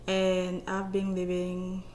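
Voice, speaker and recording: A woman in her twenties from Cameroon, a guest in a casual interview, speaking softly and hesitantly with many filler words. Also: on the low side, monotone, slow pace, reverberant, slight background noise. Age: 20s.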